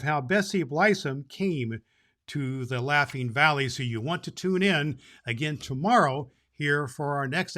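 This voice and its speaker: A man playing Santa Claus, a jolly old man who reads storybook tales to children and hosts the show in an animated manner. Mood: cheerful hosting. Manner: jolly, animated, booming ho-ho laughs. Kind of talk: storybook reading and address to children.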